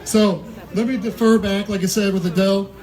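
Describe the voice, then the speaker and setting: A man's voice: sits high, steady tone, fairly quick, a little mellow. A man giving a rally speech outdoors, calling out emphatic points to a crowd. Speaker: rally speaker. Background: cheering crowd.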